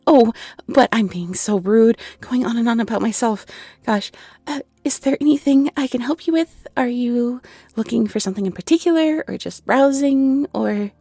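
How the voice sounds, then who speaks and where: Soft, high, flustered young fairy shopkeeper played by a woman. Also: moderate pace, no echo. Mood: bubbly, flustered. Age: 20s. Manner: nervous stammering, giggly, apologetic. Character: shy, flustered young half-fairy woman running a tea shop. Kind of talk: scripted audio roleplay, intimate and conversational.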